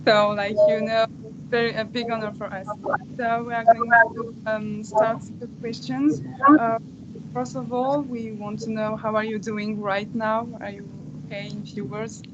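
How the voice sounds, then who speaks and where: A woman hosts an interview over a video call, speaking slowly in a level, flat voice. She stumbles through her questions with frequent uhs. The sound is dull and lo-fi. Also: fairly high pitch, background noise. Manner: halting, filler-heavy, flat delivery.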